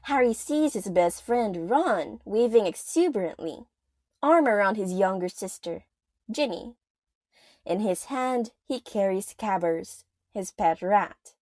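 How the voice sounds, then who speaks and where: A woman's voice: medium pitch, expressive, slow, a little mellow, dry recording. A woman reading scripted narration for an audio drama as its storyteller. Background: music.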